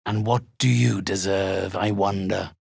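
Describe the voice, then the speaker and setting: Raspy, deep undead necromancer. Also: male voice, steady tone, darkish tone, dry recording, exaggerated voice. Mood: cold, scornful. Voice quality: raspy, hoarse. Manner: pompous, formal, deliberate. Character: ancient undead necromancer, haughty, mercenary, theatrically menacing. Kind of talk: theatrical video game character acting.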